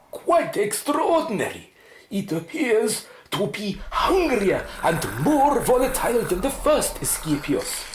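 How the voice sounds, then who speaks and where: Radio play role: principled, easily indignant male scientist, played by a woman, very expressive pitch, slow formal delivery. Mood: absorbed, clinical. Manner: formal, emphatic, precise diction. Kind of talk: radio drama acting. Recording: roomy echo, faint noise.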